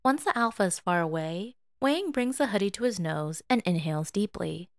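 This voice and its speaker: A woman reading fiction aloud as a podfic narrator in an expressive, scripted storytelling style. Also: medium pitch, normal speed, no room sound, studio quality.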